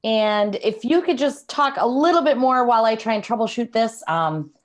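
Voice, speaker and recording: A woman's voice: on the high side, expressive, moderate pace. A woman hosting an online event in a casual, off-the-cuff way over a video call. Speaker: event host. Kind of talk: casual chat while troubleshooting.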